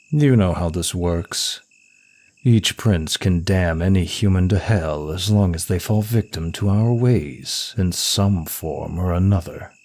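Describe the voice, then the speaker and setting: A man playing a seductive, arrogant demon prince of lust in an intimate ASMR roleplay, speaking in a smug, suave, deliberate manner. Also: deep voice, darkish tone, full character voice.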